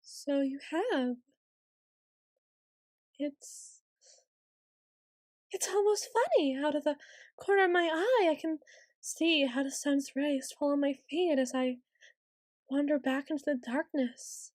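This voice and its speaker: Woman acting as a tsundere vampire lady, high voice, haughty, archaic formal phrasing, ASMR roleplay, very dry close sound. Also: takes it slowly, pauses often, a little mellow, no room sound. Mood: soft, tender. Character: haughty, centuries-old tsundere female vampire noble.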